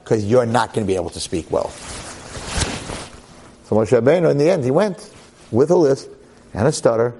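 A man's voice: mid-range voice, animated, normal speed, pauses often, dark tone, slight background noise. A man giving a Torah lecture to a class, emphatic and dramatic, repeating phrases and asking rhetorical questions. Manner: public speaker.

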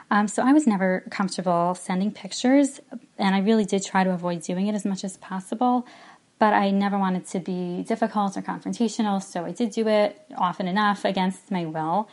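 A young woman sharing her personal experience in a voice note, earnest and halting, with many ums. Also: mid-range voice, darkish tone. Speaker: listener sending a voice note.

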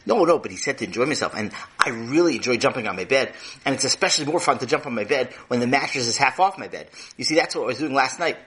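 A man's voice: medium pitch, animated, fast, a bit bright, a little hiss or hum, close to an everyday voice. A man voicing a cheeky young boy who stammers and rambles through excuses. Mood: sheepish.

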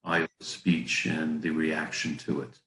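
Male interviewer, very low pitch, conversational questioning, noticeably roomy echo. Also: flat delivery, a little slow, fairly bright tone. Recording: echoey room sound.

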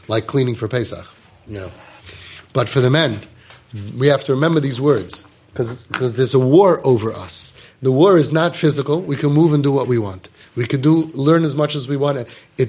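Husky-voiced rabbi giving an animated class. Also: male voice, medium pitch. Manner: animated, mixes Hebrew and Yiddish words into English. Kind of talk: Torah class lecture.

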